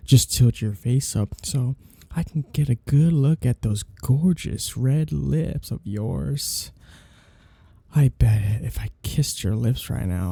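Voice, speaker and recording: A suave, predatory vampire lord, acted by a man for an ASMR roleplay, with a very dark, mellow voice that creaks at the edges. He teases slowly and condescendingly, savoring each menacing phrase. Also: mid-range voice, dry recording. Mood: predatory, flirtatious. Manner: slow, teasing, condescending purr with drawn-out phrases. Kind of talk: ASMR roleplay, intimate scripted monologue.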